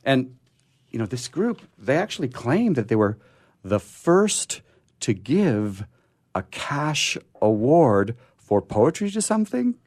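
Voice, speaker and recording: A man's voice: mid-range voice, big pitch swings, darkish tone, dry recording, clean studio sound. A man hosting a radio show in casual, unscripted talk, stammering and restarting often, with a sore throat. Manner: halting, often stammering and restarting mid-phrase.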